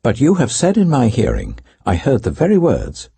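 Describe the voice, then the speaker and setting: A man reading the part of an earnest, indignant young man rebuking his elders in a scripture story, emphatic, measured and oratorical. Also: mid-range voice, wildly swooping pitch, dark tone, husky, dry recording, voice pushed up, heavily acted. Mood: urgent, commanding.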